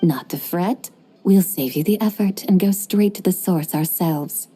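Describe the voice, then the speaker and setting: A woman's voice: on the low side, mellow, faint music behind, lightly acted. A woman playing a coolly logical formal traveler. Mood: composed. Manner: measured, formal, precise reasoning. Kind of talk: video game voice acting.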